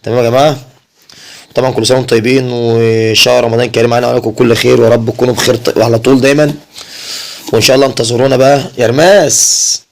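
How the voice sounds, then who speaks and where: Fast-talking Egyptian man, casual vlogger. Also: medium pitch, level tone. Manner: repeats himself, often addresses viewers. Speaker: family vlogger. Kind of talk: casual chat to viewers.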